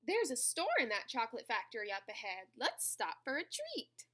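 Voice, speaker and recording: A woman voicing a friendly grown man in a children's storybook, speaking gently to his companion. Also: a little high, huge pitch swings, normal speed, heavily acted.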